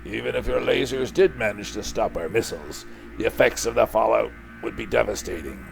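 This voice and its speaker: A man's voice: on the low side, lively intonation, brisk, vocal fry, over music. A man voicing a cold, zealous enemy commander, declaiming with menace in a comic book reading. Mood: preachy.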